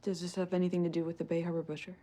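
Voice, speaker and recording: An actress playing a brusque, foul-mouthed police lieutenant in a TV drama, curt and blunt. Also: female voice, on the low side, flat delivery, rapid-fire, warm tone, natural voice. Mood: brusque, tense.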